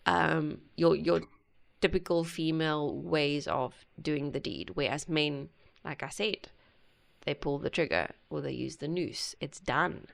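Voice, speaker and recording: A woman hosting a music reaction video, talking earnestly and haltingly with many pauses. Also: deep voice, gravelly. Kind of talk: casual reaction commentary.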